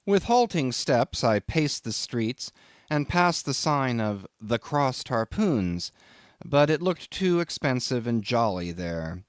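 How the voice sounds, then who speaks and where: Guy reading an old novel for an audiobook, expressive n measured, middle pitch, really dry sound. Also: smooth, no echo. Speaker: audiobook narrator. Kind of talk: scripted literary narration.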